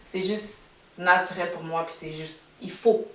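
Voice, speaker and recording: A young woman with a Québécois accent talks reflectively in a casual podcast chat, pausing on fillers and restarting her sentences. Her voice sits low. She is a little far from the mic in an echoey room. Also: steady tone, fairly bright tone. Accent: Québécois French. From Montreal, Quebec, Canada. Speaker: podcast co-host.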